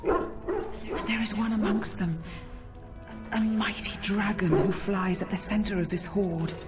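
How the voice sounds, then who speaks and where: An actor voicing an ancient forest spirit creature in a fantasy game, slow, solemn and poetic. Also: mid-range voice, fairly even intonation, over music, close to an everyday voice. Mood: solemn foreboding. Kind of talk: video game cutscene acting.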